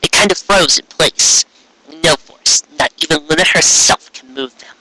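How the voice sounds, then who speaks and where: A man's voice: on the high side, moderate pace, pushed and bright, rough recording. A man voicing a young female unicorn magician who explains herself earnestly and defensively in a story. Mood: earnest explaining.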